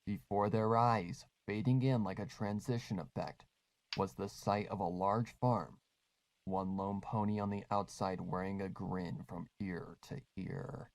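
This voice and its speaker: A man giving a dramatic, ominous, deliberate scripted narration of a horror story. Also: lowish voice, steady tone, creaky, rough recording.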